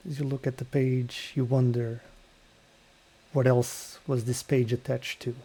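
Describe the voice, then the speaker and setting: A man running a tabletop game, setting a dark scene in slow, unhurried narration. He talks in a halting way, pausing between phrases. His voice sits at a middling pitch. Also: level tone, slightly rough. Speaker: dungeon master.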